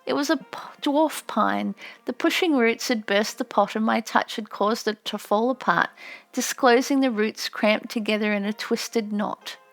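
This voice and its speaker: A woman reading an audiobook in an expressive, gentle, measured storytelling style, with music behind her. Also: on the high side, vocal fry. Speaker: amateur audiobook narrator. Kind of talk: expressive scripted audiobook narration.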